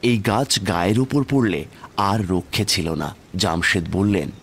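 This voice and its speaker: A man's voice: low voice, measured pace, gravelly, a bit bright, a little hiss or hum. A man narrating a scripted horror story in the first person, with dramatic, suspenseful delivery. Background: background music.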